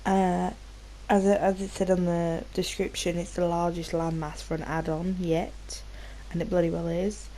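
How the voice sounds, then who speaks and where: A British woman hosts a gameplay video in a fairly low, soft-edged voice. She rambles informally and swears freely, a little nasal from a cold. There is slight noise and game ambience behind her. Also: little pitch movement, normal speed, hardly any pauses, poor audio. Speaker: gaming video host. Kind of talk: casual gameplay commentary.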